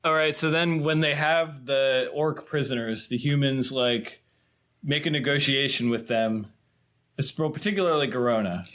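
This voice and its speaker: A man's voice: mid-range voice, moderate pace, a bit bright. A man hosting a casual film discussion, steering the recap in an informal way. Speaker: podcast host.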